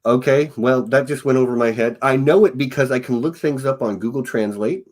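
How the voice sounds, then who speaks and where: A man's voice: medium pitch, a little fast. A man hosting a podcast, talking over a video call in a friendly, upbeat way. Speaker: podcast host. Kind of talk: casual interview chat.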